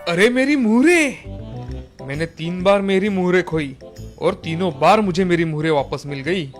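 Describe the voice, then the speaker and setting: A man voicing an excitable, honest village farmer in an animated moral story, with melodramatic exclamations. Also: high-pitched, unhurried, soft background music. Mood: amazed.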